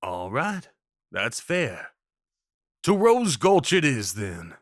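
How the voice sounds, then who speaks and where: A man's voice: medium pitch, wildly swooping pitch, slow, no room sound, studio quality. A man playing a rugged, kindly cowboy of the Old West in a roleplay audio drama, with a folksy, reassuring drawl. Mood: friendly, amused.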